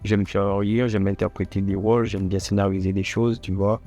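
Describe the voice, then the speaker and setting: Lowish-voiced man chatting informally. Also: little pitch movement, moderate pace, dark tone. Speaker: podcast guest, comedian. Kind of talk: casual conversation. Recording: music behind. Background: music.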